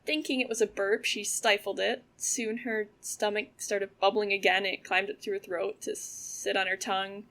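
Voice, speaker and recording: Girl playing young woman telling an eerie story in an audio drama, medium pitch, lots of vocal fry. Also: level tone, moderate pace, few pauses, a bit bright, lightly acted. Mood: measured, eerie storytelling. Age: young adult. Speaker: student audio drama actor.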